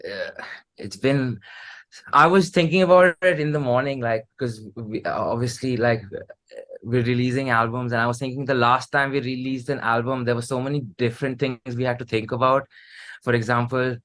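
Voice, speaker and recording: Indian man chatting, medium-pitched voice. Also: fairly even intonation, moderate pace, fairly bright tone. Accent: Indian English. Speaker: rock band musician, interview guest. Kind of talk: casual chat.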